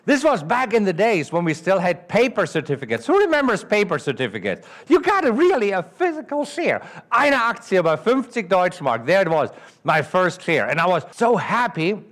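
A man from Germany with a German accent, giving a storytelling talk at a live event, animated and asking the audience questions. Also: high voice, moderate pace. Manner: animated, asks the audience questions, acts out the dialogue. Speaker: trading educator.

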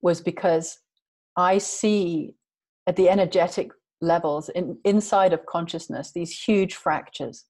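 A woman's voice: lowish voice, steady tone, unhurried, a little mellow. A woman guest in an interview, talking earnestly and emphatically in long flowing sentences. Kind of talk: passionate conversational interview.

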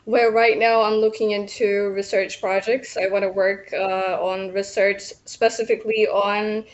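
A young woman from Ethiopia, a civil engineer answering radio interview questions with many pauses and fillers. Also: mid-range voice, flat delivery, muffled recording.

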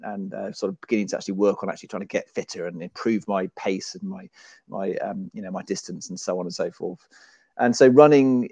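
A man's voice: on the low side, a little mellow, dry recording. A man, a podcast guest who talks in a chatty, self-deprecating way and often restarts his sentences. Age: 40s. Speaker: guest, author and runner. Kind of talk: casual podcast conversation.

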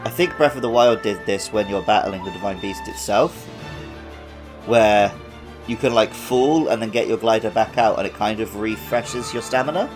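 A man's voice: lowish voice, moderate pace. A man co-hosting a video game podcast, giving casual commentary. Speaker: podcast co-host. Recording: music playing under the voice. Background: background music.

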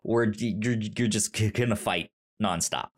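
A man co-hosting a podcast, talking casually and haltingly, repeating words as he thinks aloud. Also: fairly low pitch. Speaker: podcast co-host. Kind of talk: casual book discussion.

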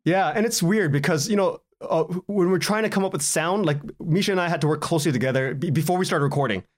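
A man speaking as a guest in a casual podcast interview, telling anecdotes in an animated way and acting out bits of dialogue. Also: a little high, fast. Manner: animated storytelling, acts out quoted exchanges, chuckles often. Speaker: guest, audio drama writer and director.

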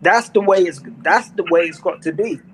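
A man's voice: sits high, normal speed, noisy background. A man in his forties talking casually and earnestly in a remote conversation, rambling with restarts and slang. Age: 40s.

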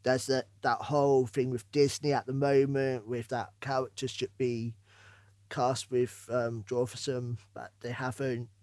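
A man's voice: medium pitch, level tone, unhurried, a little mellow, dry recording. A man hosting a casual podcast interview, informal and ready with his own opinions.

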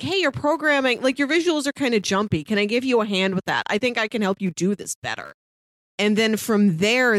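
A woman's voice: mid-range voice, dry recording. A woman co-hosting a podcast, talking through a review in casual conversation. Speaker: podcast co-host.

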